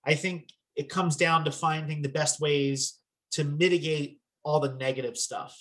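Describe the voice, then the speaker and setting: Man, Philadelphia accent, slightly higher voice, easygoing, reflective podcast host. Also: fairly even intonation, moderate pace, fairly bright tone. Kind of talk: casual chat.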